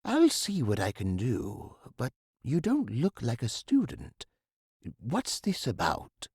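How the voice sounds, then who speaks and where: An actor playing a busy, modest academic professor, formal and articulate, somewhat fussy and evasive, in a video game. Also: male voice, mid-range voice, wildly swooping pitch, a little mellow, husky, no room sound, studio quality, full character voice. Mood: formal, guarded. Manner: formal, articulate, slightly hurried. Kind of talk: scripted point-and-click game dialogue.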